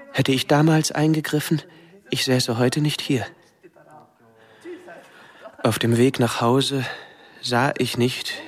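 An actor playing a withdrawn, guilt-ridden young man, recounting his memories haltingly and introspectively in a radio drama monologue. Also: mid-range voice, lightly acted. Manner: slow, halting, introspective delivery in short phrases.

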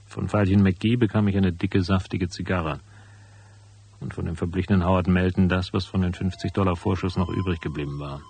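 A hardboiled private detective for a German radio play, in a deep voice with a husky, creaky edge. He is laconic and stubborn, laying things out in a dry, matter-of-fact way. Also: fairly even intonation, a little fast, a little mellow, exaggerated voice. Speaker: voice actor and first-person narrator. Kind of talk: German radio drama, dialogue and narration.

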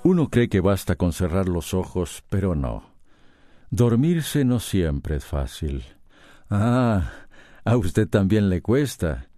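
A calm radio drama narrator. His voice is low and mellow, slow and evenly reflective. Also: soft background music, exaggerated voice. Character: calm, reflective male storyteller. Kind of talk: scripted radio soap narration.

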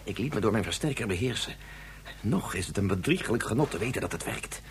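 An actor playing a vain, guilt-ridden inventor in a Dutch radio drama, speaking in a theatrical, confessional way. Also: male voice, fairly low pitch, lively intonation, fast, slight background noise. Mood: anguished, confessional. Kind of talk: Dutch science-fiction radio drama acting.

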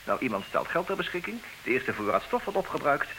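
An actor playing a suave, condescending, idle wealthy bachelor in a comedic radio play, with theatrical mock politeness. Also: male voice, on the high side, big pitch swings, a little fast. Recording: hissy old radio drama recording.

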